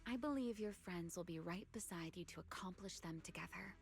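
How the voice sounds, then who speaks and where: A woman playing a composed, enigmatic fighter and mentor in an anime-style game, unhurried, playful and slightly formal. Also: on the low side, soft background music, lightly acted. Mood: reassuring.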